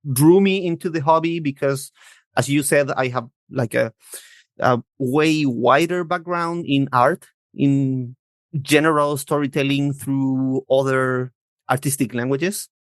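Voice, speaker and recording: A man's voice: medium pitch, a little slow, no echo, clean studio sound. A man in his thirties from Chile, a native Spanish speaker, chatting as a podcast guest and often searching for words. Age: 30s. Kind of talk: casual interview chat.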